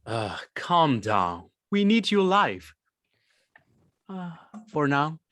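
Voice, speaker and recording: An actor playing a menacing, arrogant gang leader in a table read, mocking and gloating with halting, chuckling delivery. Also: medium pitch, big pitch swings, fairly bright tone, dry recording. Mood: mocking menace.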